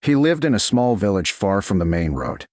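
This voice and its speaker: A man voicing a calm, gentle woman living as a servant in a romance audiobook, speaking softly and with composure. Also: on the low side, dry recording, studio quality. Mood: quiet, steady. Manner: soft, composed, measured. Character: calm, gentle woman, duke's lost love turned servant.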